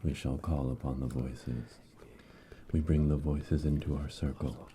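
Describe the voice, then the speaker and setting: A man voicing a solemn witch coven elder in an ASMR roleplay, hushed and breathy, chanting ominously close to a binaural microphone. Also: a bass voice, fairly even intonation, slight background noise. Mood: solemn, incantatory. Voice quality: breathy whisper. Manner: slow, incantatory, repetitive, ominous.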